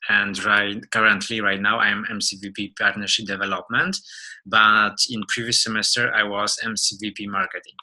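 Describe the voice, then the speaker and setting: Man, twenties, Polish accent, forceful bright voice, lower pitch, halting informal slide presenter. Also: poor audio. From Poland. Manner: halting, with frequent filler words. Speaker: webinar presenter.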